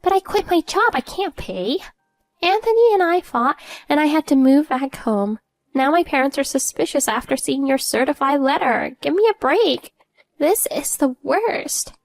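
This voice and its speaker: A narrator, a woman, puts on a desperate young mistress in a story drama. She whines in a high, agitated voice, recorded dry. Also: expressive, normal speed, dry recording, faint music behind. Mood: panicked whining. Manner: whining, agitated.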